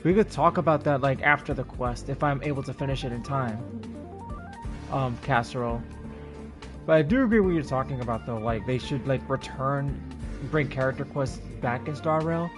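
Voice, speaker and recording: Casual male game streamer over music. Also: mid-range voice. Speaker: game livestreamer. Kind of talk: casual live gameplay commentary.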